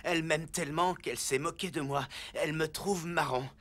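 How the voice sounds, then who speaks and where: A man's voice: a little high, moderate pace, a bit bright. A man voicing a lovesick young apprentice in a children's cartoon, hesitant and earnest. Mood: unsure, dejected. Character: lovesick, timid, gangly young male apprentice. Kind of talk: French-dubbed children's cartoon acting.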